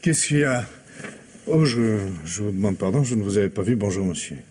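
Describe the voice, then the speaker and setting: A man's voice: on the low side, expressive, a little fast, darkish tone, a little hiss or hum, lightly acted. An actor playing an evasive, flustered husband in his thirties in a French radio mystery, hesitant, stammering and backtracking. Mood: sheepish. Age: 30s.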